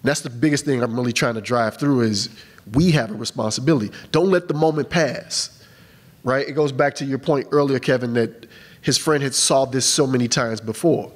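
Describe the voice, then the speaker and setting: A man's voice: medium pitch, moderate pace. A man on a film panel answering a question in a conversational, emphatic way, often checking in with "right?". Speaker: panelist, casting and film producer. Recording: long hall reverb.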